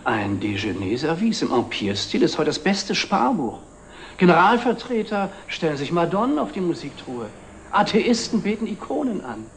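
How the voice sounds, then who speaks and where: A middle-aged actor playing a wry, wistful antique dealer, formal and dry, in a 1960s TV drama. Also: male voice, fairly high pitch, big pitch swings, normal speed. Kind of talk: 1960s German television drama acting. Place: indoor antique shop set. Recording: noisy old TV film soundtrack.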